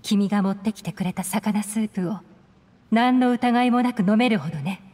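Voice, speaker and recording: An actress playing a composed, shrewd covert operative in a game, calm and measured with a faint edge of threat. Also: female voice, mid-range voice, a little hiss or hum, natural voice. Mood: coolly menacing. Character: cool, composed female covert operative, shrewd and self-assured. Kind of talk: Japanese game voice acting.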